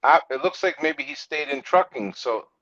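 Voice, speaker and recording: Male trucking owner, notably high voice, frank and informal, slightly lo-fi recording. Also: expressive, normal speed, pushed and bright. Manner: blunt, straight-talking, acts out quotes. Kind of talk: casual opinionated discussion.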